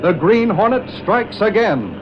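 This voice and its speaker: A man's voice: high voice, very animated, a little slow, noisy background. A male announcer narrating a 1940s radio show in a dramatic, emphatic, scripted style. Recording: dull lo-fi vintage radio recording.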